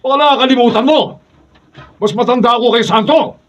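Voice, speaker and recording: A man's voice: very high-pitched, normal speed, distant mic. A man with a Filipino accent playing a domineering, blustering older brother-in-law in a radio drama. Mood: menacing. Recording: muffled, noisy studio, off-mic.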